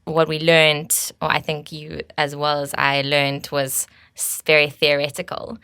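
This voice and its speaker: A woman's voice: deep voice, completely flat delivery, measured pace, gravelly, pushed and bright, clear, resonant tone, dry recording, clean studio sound. A South African woman in her thirties co-hosting a casual podcast, fluent and chatty, with frequent ums and small restarts. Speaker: podcast co-host, agency co-founder.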